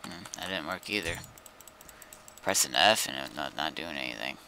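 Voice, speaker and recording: A man's voice: a bass voice, animated, normal speed, bright, forceful tone, a little hiss or hum. A man chatting casually while streaming a game, thinking aloud in a laid-back way. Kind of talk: casual gaming chat.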